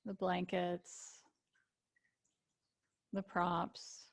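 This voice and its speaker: A woman leads a guided relaxation as a yoga nidra teacher. Her voice sits on the low side and moves very slowly. She offers gentle invitations and leaves long pauses between phrases. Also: monotone, creaky.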